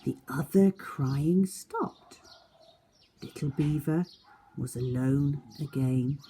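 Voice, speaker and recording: A woman's voice: low-pitched, slow, warm tone, husky. A woman reading aloud as a teacher at children's storytime, speaking expressively to young listeners. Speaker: schoolteacher reading stories to a class.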